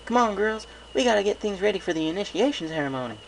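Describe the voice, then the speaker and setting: A man's voice: high-pitched, animated, fast, gravelly, a little hiss or hum, pitched above the performer's own voice, low-quality recording. A man voicing an eager, earnest young filly pony with a folksy, childlike way of talking in a read-aloud story.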